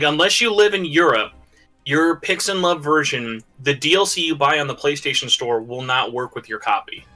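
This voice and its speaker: A man talking games on a podcast as a guest, loud, animated and emphatic. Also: on the high side. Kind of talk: casual gaming chat.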